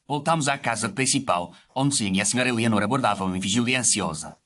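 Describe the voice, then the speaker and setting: An actor playing a reflective gentlemanly doctor who narrates his memoirs in formal, literary first-person storytelling. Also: medium pitch, fast, natural voice.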